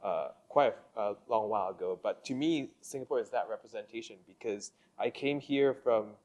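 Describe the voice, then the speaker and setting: Young male host from the Philippines, 20s; measured and hesitant, low register. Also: darkish tone. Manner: measured, hesitant, many fillers. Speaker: podcast host. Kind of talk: casual interview conversation.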